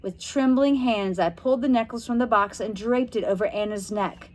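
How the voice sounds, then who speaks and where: A woman narrates a spooky children's book in a fairly high voice. Her read-aloud style is dramatic and expressive, with suspense built through deliberate phrasing. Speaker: storybook reader.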